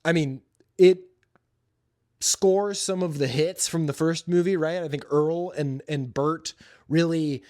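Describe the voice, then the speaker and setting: A man's voice: fairly high pitch, slow, a little mellow, no room sound. Man casually reviewing movies, very dry sound. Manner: informal, opinionated, enthusiastic slangy talk. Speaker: podcast host.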